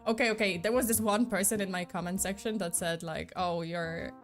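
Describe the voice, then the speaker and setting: A woman's voice: medium pitch, fairly even intonation, fairly quick, faint music behind. A young woman with a slight mixed accent, not a native English speaker, rambling in a casual live-stream chat with lots of fillers. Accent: slight mixed non-native.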